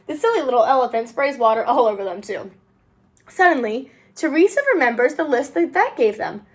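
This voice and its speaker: A woman reading a children's storybook aloud in an expressive, sing-song way as host of a read-aloud channel. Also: sits high, fairly quick, husky. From United States.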